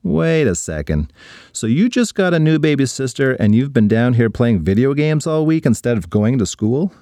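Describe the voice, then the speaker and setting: A man voicing a jealous, scheming young boy who gets excited and pleads. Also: mid-range voice, moderate pace, no echo, clean studio sound. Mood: sarcastic.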